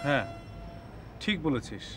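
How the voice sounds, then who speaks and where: An actor playing a shy, lovesick young bodybuilder who speaks hesitantly in a TV drama. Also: male voice, mid-range voice, very animated, loud music behind the voice. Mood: lovesick, puzzled. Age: young adult. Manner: hesitant, halting. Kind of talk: TV drama dialogue.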